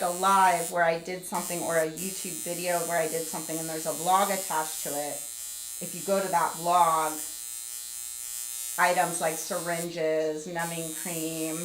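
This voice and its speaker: A woman in her forties talks through a home skincare treatment in an easy, chatty way, reading the chat aloud as she works. Her voice sits fairly low, and the room gives it a noticeable echo with a faint electric hum underneath. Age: 40s. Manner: chatty, explains steps while multitasking, reads chat aloud. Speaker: skincare livestream host. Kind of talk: casual live tutorial chat.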